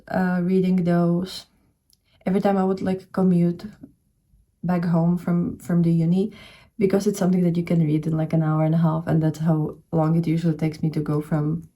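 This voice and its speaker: A young woman from the Czech Republic with a Czech accent, talking casually about her reading plans. Her voice is low-pitched and very mellow. She rambles along with plenty of fillers and restarts. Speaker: book vlogger. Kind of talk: casual reading vlog chat. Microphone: camera showing only her hands.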